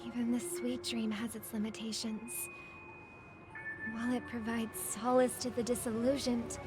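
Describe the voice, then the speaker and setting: An actress playing a gentle, compassionate young woman in a video game, polite and earnest in her delivery. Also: on the high side, level tone, normal speed, music in the background, lightly acted. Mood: solemn, tender. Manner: polite, soft-hearted.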